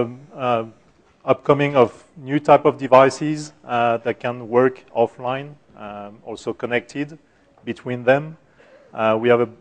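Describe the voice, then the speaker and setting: A middle-aged man with a French accent and a soft voice, giving a technical conference talk with many fillers and restarts. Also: medium pitch. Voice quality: soft-spoken. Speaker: conference speaker. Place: conference room.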